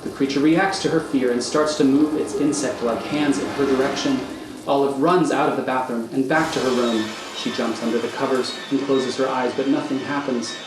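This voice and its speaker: A man narrating scripted stage directions for a radio thriller in a measured, matter-of-fact manner. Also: medium pitch, fairly even intonation. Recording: echoey room, faint noise.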